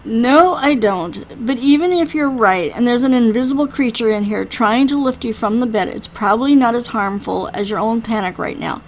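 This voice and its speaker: A woman voicing a calm, matter-of-fact male healer in a visual novel, measured and dryly reassuring. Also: a little high, natural voice, low-quality recording. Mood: calm, firm. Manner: measured, dry, explanatory. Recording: faint background noise.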